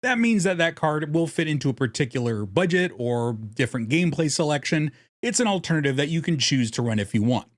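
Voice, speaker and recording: A man's voice: a little high, animated, brisk, dry recording, clean studio sound. A man narrating a scripted explainer as host, with a casual, self-deprecating, jokey way of talking. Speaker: host of a card game deck guide channel.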